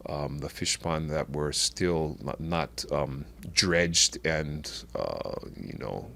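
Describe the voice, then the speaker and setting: A middle-aged man from Oahu with a Hawaiian accent, talking as a podcast guest in a halting way with many restarts. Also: very deep voice, creaky, a bit bright. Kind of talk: casual conversational interview.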